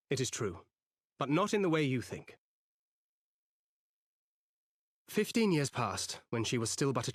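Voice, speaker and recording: Low-voiced, solemn adventurer. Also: male voice, no echo, clean studio sound. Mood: regretful. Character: solemn, protective adventurer man haunted by regret. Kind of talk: fantasy video game cutscene acting.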